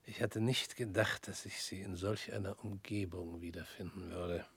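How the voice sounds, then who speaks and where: An actor in a radio play voicing a weary former prosecutor, measured and probing, sometimes dropping to a near whisper. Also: male voice, deep voice, steady tone, vocal fry, breathy edge, dry recording, full character voice.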